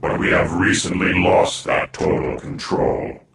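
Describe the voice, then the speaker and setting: An actor playing an ancient, menacing dark overlord in a fantasy game, gravelly and imperious, speaking in formal diction. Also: male voice, little pitch movement, a little slow. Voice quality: very deep, gravelly. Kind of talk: theatrical fantasy game cutscene acting. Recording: noisy game audio with heavy echo.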